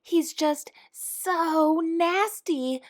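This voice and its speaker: A woman's voice: extremely high pitch, drawn-out words, pitched above the performer's own voice, heavily acted. A woman voicing an anxious lizard who cries out and pleads for help in a storybook read-aloud. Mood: pleading. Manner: pleading, crying out. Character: anxious young lizard patient.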